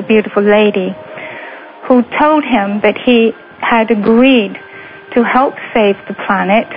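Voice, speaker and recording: Lancashire lady hosting radio, rambly n gushy, muffled lo-fi sound, bit of hiss. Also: mid-range voice. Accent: Lancashire, faded. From Lancashire, England. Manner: rambling, gushing, fills dead air with talk. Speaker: radio show host. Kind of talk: internet radio talk show. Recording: dull lo-fi radio audio with faint hiss.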